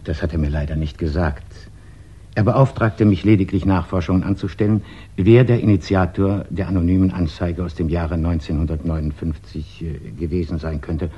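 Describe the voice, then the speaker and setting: An actor playing a businesslike, discreet private detective in a 1960s German radio crime drama, speaking formally and deliberately with small hesitant pauses. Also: male voice, low voice, steady tone, dark tone, husky, dull audio.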